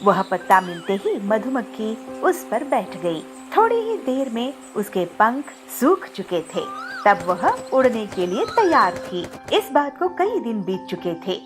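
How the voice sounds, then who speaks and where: Casting: female children's story narrator, highish pitch, animated scripted delivery. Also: unhurried. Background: music.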